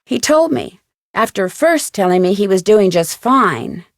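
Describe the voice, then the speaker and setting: A woman's voice: mid-range voice, big pitch swings, unhurried, gravelly, dry recording, clean studio sound. An actress playing a curious, determined young woman detective and dutiful daughter in a video game, polite and earnest. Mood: determined, suspicious. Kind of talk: scripted game voice acting.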